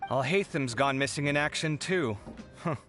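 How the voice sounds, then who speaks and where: A man playing an expressive, easily exasperated young man in a video game, theatrical in his delivery. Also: on the high side, moderate pace, faint music behind, natural voice. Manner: theatrical, grumbling.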